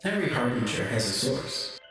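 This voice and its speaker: A heavily echoing, distant voice of a detached otherworldly being acted by a man in a tabletop roleplay. It speaks formally and with measured calm at a medium pitch, with soft music underneath. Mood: solemn. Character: calm, formal otherworldly power source that reads code. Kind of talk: tabletop actual-play acting.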